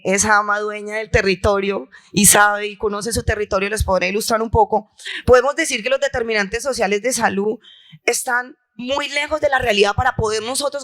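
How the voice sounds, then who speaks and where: A woman's voice: mid-range voice, fairly bright tone. A woman from La Guajira, speaking passionately and with emphatic repetition on a conference panel. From La Guajira, Colombia. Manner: impassioned, emphatic, with rhetorical repetition. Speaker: health insurer leader on a panel. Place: conference hall.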